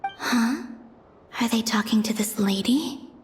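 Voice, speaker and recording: A woman's voice: fairly high pitch, expressive, slow, slight background noise. A woman playing a curious, puzzled female onlooker in a video game. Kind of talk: video game dialogue acting. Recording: long hall-like reverb.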